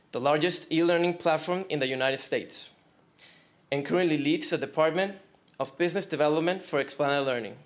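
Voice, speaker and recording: Young man reading a formal introduction. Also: fairly high pitch. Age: young adult. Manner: formal, read from a script. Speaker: event host. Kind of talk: scripted introduction.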